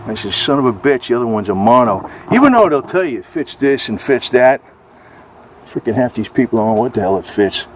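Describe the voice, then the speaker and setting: A man's voice: medium pitch, sing-song, brisk. An American man chatting casually in a rambling homemade vlog, informal and salty in a matter-of-fact way. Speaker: amateur video blogger. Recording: noisy amateur recording, vehicle ambience.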